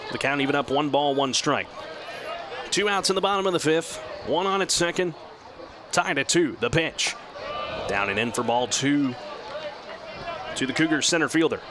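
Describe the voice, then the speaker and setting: A man doing live radio baseball play-by-play in measured, descriptive, clipped phrases. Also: medium pitch. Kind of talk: live radio sports commentary. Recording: clear steady outdoor ballpark noise.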